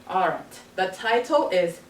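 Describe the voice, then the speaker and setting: Low-voiced woman teacher in a roomy classroom. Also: animated. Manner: instructive, simple deliberate phrasing for children. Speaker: elementary school teacher. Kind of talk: read-aloud lesson with questions to young children. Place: carpeted classroom full of children. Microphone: far camera across the room. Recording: roomy, distant classroom camera with background noise.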